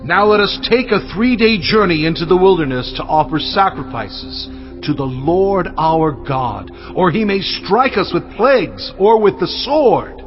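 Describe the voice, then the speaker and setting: An actor playing a humble, earnest Hebrew prophet with a pleading, emphatic manner in a scripture audio drama. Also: male voice, sits high, expressive, a little slow. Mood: earnest, urgent. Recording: muffled, music underneath.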